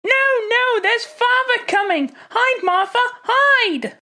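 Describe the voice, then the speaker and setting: A woman playing an excitable small child, shouting shrilly in a classic radio drama. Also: extremely high pitch, takes it slowly, exaggerated voice. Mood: excited.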